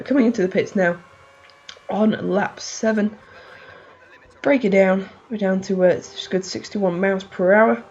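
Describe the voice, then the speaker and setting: A woman with a hoarse, fading voice giving rambling, excitable play-by-play of her racing game, full of fillers. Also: mid-range voice, muffled recording. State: hoarse, losing voice. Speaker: gaming channel host.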